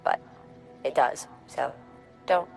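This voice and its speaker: A practical female advisor in a video game. Her voice is low for a woman, with a casual, dry way of explaining things. Soft music plays underneath. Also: huge pitch swings, takes it slowly, gravelly, exaggerated voice. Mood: approving, wry.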